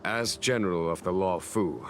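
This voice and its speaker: A man playing a deep, dignified fantasy general. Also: soft background music, close to an everyday voice. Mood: grave, formal. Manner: formal, measured, solemn. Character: calm, dignified male general of a fantasy realm. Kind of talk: scripted video game voice acting.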